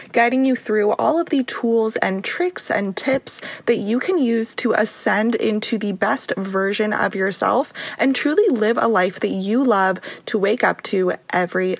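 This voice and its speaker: A young woman hosting a motivational podcast, chatty and encouraging, her voice a bit raspy from a sore throat. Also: mid-range voice, no room sound. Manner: warm, encouraging, chatty with laughs. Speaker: podcast host. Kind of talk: upbeat motivational podcast talk.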